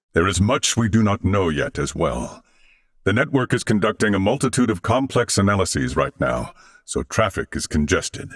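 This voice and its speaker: A man voicing a courteous, thoughtful humanoid merged with machine intelligence in a video game, with formal, measured, earnest diction. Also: lowish voice, no echo, clean studio sound. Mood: grave, composed. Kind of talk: scripted video game character acting.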